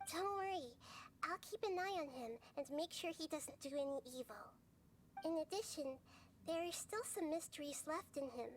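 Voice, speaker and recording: A woman voicing a small, childlike female god for a video game, wise, composed and enigmatic, speaking in articulate, gently formal phrases. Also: high-pitched, a little hiss or hum, lightly acted. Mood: calm, assured. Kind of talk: video game voice acting, measured explanatory dialogue.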